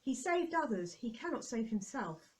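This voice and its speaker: Woman as higher-voiced, scornful religious leader. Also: sing-song, moderate pace. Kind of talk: dramatised scripture reading.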